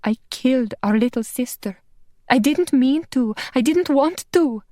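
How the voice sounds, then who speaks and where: A woman's voice: a little high, unhurried, warm tone, no room sound, studio quality, voice pushed up, close to an everyday voice. A woman doing a shaky, tearful young woman. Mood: distraught. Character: tearful young woman freed from a villain's control.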